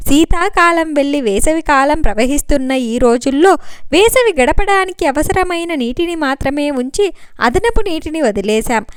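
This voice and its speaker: A woman's voice: sits high, clear, resonant tone, no room sound, studio quality. A woman voicing a dutiful, composed male government officer in an audiobook, speaking formally and reassuringly.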